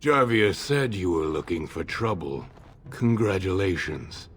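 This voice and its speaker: An actor playing a gloating criminal thug in a fantasy game, rough, husky and taunting. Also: male voice, sits low, sing-song, fairly bright tone, exaggerated voice. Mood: gloating menace. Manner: slow, taunting.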